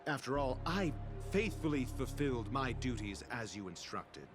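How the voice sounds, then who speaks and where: A man's voice: medium pitch, lively intonation, moderate pace, close to an everyday voice. A man playing an arrogant, sarcastic genius. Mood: condescending. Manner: condescending, sarcastic. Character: arrogant, cutting male genius scholar. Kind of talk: video game voice acting. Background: game music.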